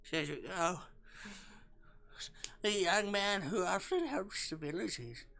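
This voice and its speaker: A streamer voicing an unsettling old man from a visual novel, dazed and halting, muddling and repeating words. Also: mid-range voice, animated, gravelly, fairly bright tone, faint music behind, voice pushed up, full character voice. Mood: slow recognition.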